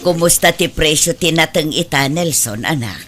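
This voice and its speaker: A woman with an Ilocano accent playing a middle-aged mother, soft with her son and stern with her daughter, in an audio drama. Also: deep voice, lively intonation, moderate pace, soft background music. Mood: tender, gentle.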